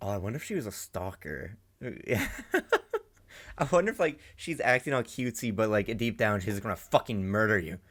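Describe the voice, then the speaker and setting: A man hosting a casual reaction video, talking excitedly, swearing often and thinking aloud with many restarts. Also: fairly low pitch, moderate pace.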